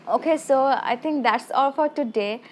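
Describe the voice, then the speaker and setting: Beauty vlogger, woman, slightly high voice, chatty and rambling, a bit shy. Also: normal speed, a little hiss or hum. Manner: rambling, full of "I mean" and "like" restarts; self-conscious, a little shy. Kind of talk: casual product review.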